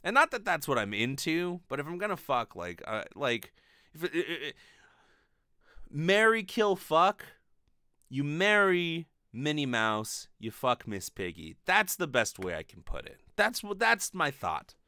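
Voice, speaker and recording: A man's voice: on the high side, unhurried, clear, resonant tone, no echo, studio quality. A man in his late twenties hosting a comedy podcast, talking in a casual, rambling, foul-mouthed way. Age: late 20s. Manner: profane, rambling, animated. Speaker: podcast host. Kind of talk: casual comedic monologue, answering listener mail. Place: small bedroom, indoors. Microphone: close condenser microphone on desk with pop filter.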